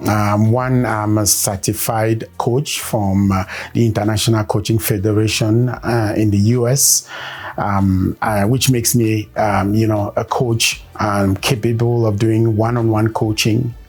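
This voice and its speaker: A man from Cameroon, a performance consultant and coach, giving measured interview answers with many pauses and fillers. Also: lowish voice, level tone, a little mellow, faint music behind.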